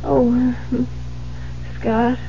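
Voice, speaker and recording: A dull old radio recording of a submissive, fragile woman. She speaks haltingly and very slowly in a high voice, in the manner of seventies radio drama. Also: level tone, creaky, a little hiss or hum, heavily acted. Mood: drowsy. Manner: hesitant, halting. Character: frightened, submissive woman growing drowsy. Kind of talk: 1970s radio drama acting.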